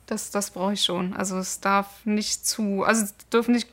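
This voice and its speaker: A woman's voice: medium pitch, fairly even intonation, moderate pace, fairly bright tone. A woman in casual conversation, talking hesitantly with many fillers. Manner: hesitant, lots of fillers and restarts. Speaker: conversation partner. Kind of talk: casual chat.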